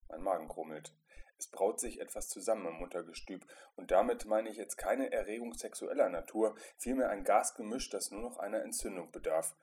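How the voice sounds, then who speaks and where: Deep-voiced man reading an introspective story. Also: a little fast, darkish tone, breathy edge. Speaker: narrator reading a short story. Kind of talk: scripted first-person literary narration.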